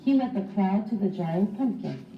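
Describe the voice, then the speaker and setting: Expressive woman teacher reading in a roomy space. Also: mid-range voice, moderate pace. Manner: expressive, instructive, addressing young children. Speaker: teacher reading aloud to pupils. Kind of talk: animated children's storybook read-aloud. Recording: roomy, distant mic, slightly dull.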